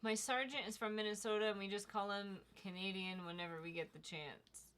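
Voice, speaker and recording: A woman's voice: mid-range voice, steady tone, fairly quick, fairly bright tone, clean tone. A young woman co-hosting a casual livestream, chatting easily and reading viewer comments aloud. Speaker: livestream co-host reading chat.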